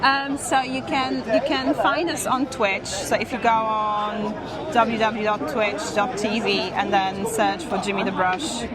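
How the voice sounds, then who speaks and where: A woman's voice: mid-range voice, steady tone, measured pace. A woman chatting casually as an interview guest, with crowd chatter behind her. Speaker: streamer being interviewed. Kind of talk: casual chat. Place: busy gaming event venue.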